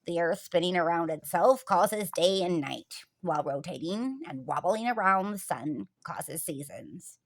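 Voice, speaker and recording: A woman's voice: lowish voice, animated, a little slow, hardly any pauses. Casting: male schoolteacher for storybook female reader, dry classroom lecturing, didactic and factual. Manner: didactic, matter-of-fact. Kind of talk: children's storybook reading.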